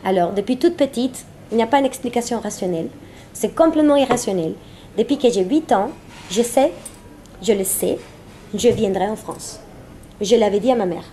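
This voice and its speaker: A woman's voice: on the high side, lively intonation, normal speed, dark tone, a little hiss or hum. A woman from Venezuela speaking French as a second language with a Spanish accent, chatting playfully as an interview guest and often repeating phrases for emphasis. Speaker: guest, singer-songwriter. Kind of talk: casual, playful interview chat.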